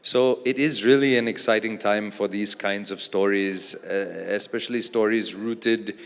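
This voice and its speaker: A man, an actor and producer from Australia, speaking as a panel guest in a relaxed, thoughtful way with many fillers. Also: fairly low pitch, measured pace, creaky. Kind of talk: casual panel conversation. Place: large event hall on stage. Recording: long reverb of a large hall.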